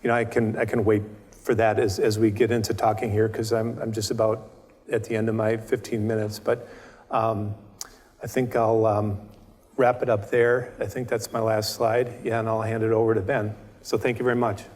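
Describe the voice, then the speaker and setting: Halting man speaking in an echoing hall. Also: fairly low pitch, level tone, a little mellow. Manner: halting, many restarts and fillers. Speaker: panel speaker. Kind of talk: presentation to students. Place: lecture hall. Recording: reverberant lecture hall.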